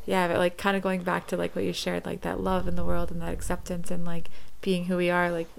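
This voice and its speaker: A woman's voice: on the low side, completely flat delivery, fairly quick, gravelly. A woman from the United States hosting a casual interview, talking loosely with lots of "like". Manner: filler-heavy, uses "like" a lot. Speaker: host.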